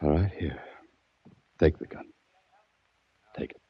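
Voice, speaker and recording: A man's voice: extremely low pitch, steady tone, slow pace, breathy edge, no echo, heavily acted. An American actor playing a hunted innocent man on the run in a 1940s radio mystery, terse and desperate, with clipped hard-boiled delivery. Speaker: lead actor and first-person narrator. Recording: muffled, noisy 1940s radio recording.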